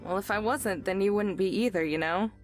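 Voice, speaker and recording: A woman's voice: medium pitch, fairly quick, close to an everyday voice. An actress playing a reassuring, easygoing young woman in a visual novel. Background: soft game music.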